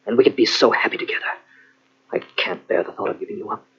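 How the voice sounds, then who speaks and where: A man's voice: fairly low pitch, fast talker, fairly bright tone, breathy edge, exaggerated voice. A man playing a scheming, coaxing young fortune hunter in an old radio drama. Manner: persuasive, coaxing endearments. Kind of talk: 1940s radio acting. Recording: dull, lo-fi old radio cassette.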